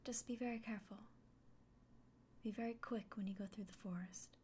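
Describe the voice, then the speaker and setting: A woman playing a kindly, caring innkeeper in an ASMR fantasy roleplay, whispering gently and reassuringly. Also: medium pitch, steady tone, brisk, a little hiss or hum, natural voice. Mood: caring, cautionary.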